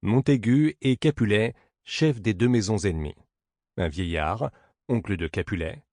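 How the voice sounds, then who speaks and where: A man narrating a classic play as an audiobook, in a measured, declamatory theatrical reading. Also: on the low side, a little mellow, dry recording, studio quality.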